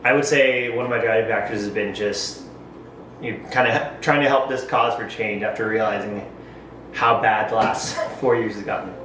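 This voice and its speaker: A young man from San Jose, California, reflecting in a measured way on voting. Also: lowish voice, fairly even intonation, a bit bright, echoey room, far from the mic, slight background noise, rough recording. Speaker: first-time voter interviewee.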